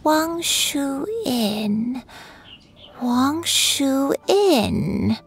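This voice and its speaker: Slow, forgetful old granny. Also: female voice, high-pitched, animated, vocal fry, soft background music, exaggerated voice. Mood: wistful, vague. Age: older. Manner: halting, absent-minded reminiscing. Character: gentle, wistful, forgetful old grandmother. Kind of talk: video game voice acting.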